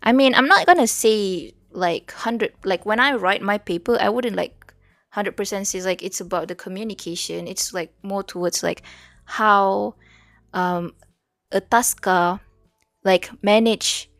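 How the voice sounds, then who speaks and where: A woman's voice: medium pitch, unhurried, a bit bright, dry recording, clean studio sound. Chatty Malaysian woman streaming. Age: young adult. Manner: casual, mixes English and Malay, many "like" fillers. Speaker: VTuber streamer studying. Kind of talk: casual study stream chat, reading notes aloud.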